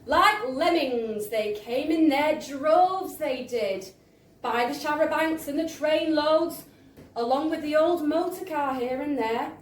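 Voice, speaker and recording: Woman as a fond, opinionated uncle, echoey. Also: high voice. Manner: emphatic, colloquial reminiscing. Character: Uncle Fred, fond, opinionated old-fashioned storytelling uncle. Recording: echoey live venue, distant mic, faint noise.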